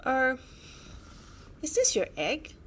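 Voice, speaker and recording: A woman voicing a small, plucky, easily flustered young male dinosaur in a children's storybook, exclaiming and yelling. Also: high voice, wildly swooping pitch, takes it slowly, slight background noise, voice pushed up, full character voice. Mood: timid. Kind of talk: children's storybook character reading.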